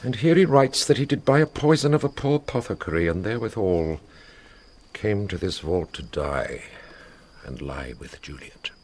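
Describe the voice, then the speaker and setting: Man as a deep-voiced, stern ruling prince. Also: animated, moderate pace, darkish tone, slight background noise, close to an everyday voice. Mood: stern, grieving. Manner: authoritative, formal, declamatory. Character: commanding, stern male prince passing judgement. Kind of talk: classical Shakespearean radio drama acting.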